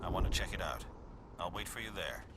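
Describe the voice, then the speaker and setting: A man's voice: deep voice, animated, brisk, very noisy. A man voicing a cool, controlled alien operative in a video game, serious in manner. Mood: purposeful. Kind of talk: dramatic video game dialogue. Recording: loud game music under dialogue. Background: music.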